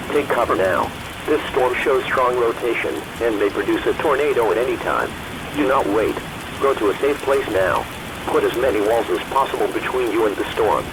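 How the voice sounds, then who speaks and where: A man playing a stern, official emergency weather announcer, reading a formal bulletin in an impersonal, measured manner. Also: mid-range voice, close to an everyday voice. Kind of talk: formal scripted warning bulletin. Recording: rain and noise over the bulletin.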